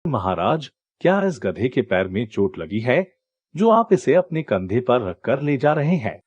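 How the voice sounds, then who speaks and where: A man's voice: mid-range voice, big pitch swings, a little slow, darkish tone, breathy edge, no echo, muffled recording. A man voicing a sly, falsely respectful swindler in a children's moral story, persuasive and mock-earnest. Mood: mock concern.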